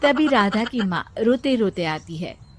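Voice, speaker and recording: A woman reads a scripted story as narrator. Her voice sits at a middle pitch, telling the tale scene by scene. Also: lively intonation, unhurried, very noisy.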